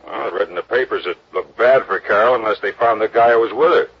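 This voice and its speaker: A man's voice: fairly low pitch, fast talker, vocal fry. A man playing an easygoing drifter in a 1950s radio drama, drawling and hesitant. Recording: muffled old radio broadcast.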